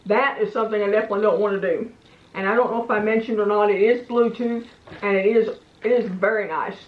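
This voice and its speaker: A woman's voice: medium pitch, fairly even intonation, fast talker. A woman giving a casual hands-on product review in a plain-spoken, folksy, meandering way. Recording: echoey room, slightly muffled sound.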